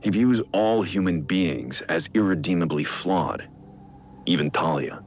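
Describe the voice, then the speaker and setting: Guilt-ridden vigilante, middle-aged, rock-bottom pitch, grave measured delivery, game audio log, music behind. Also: male voice, highs cut off. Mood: analytical, somber. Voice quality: deep, gravelly. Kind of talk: scripted audio log narration, video game acting.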